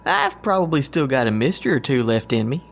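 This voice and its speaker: A man's voice: medium pitch, animated, brisk. A man playing a loyal, good-natured and goofy agent with a folksy, joking manner in an audio drama. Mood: joking, easygoing. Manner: folksy, warm-hearted, joking.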